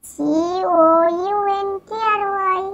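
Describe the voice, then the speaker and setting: A very high, childlike little girl, acted by a woman, talks like an earnest know-it-all big sister in a puppet story. She takes her words slowly. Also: vocal fry, fairly bright tone, faint music behind, exaggerated voice. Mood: eager, confident. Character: bright little village girl, scolding older sister.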